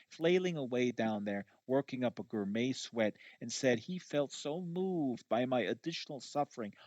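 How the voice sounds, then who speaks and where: A man's voice: mid-range voice, a little mellow, no room sound. A man reading a first-person novel aloud live, with an emphatic, conversational delivery. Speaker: audiobook reader. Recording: dull, lo-fi audio.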